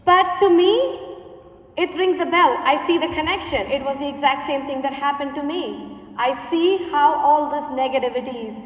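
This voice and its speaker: A woman's voice: high voice, moderate pace. A woman with an Indian accent, a math teacher, giving an earnest personal talk on stage with repeated refrains. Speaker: middle school math teacher and speaker. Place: large conference hall stage. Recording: echoey hall from far off.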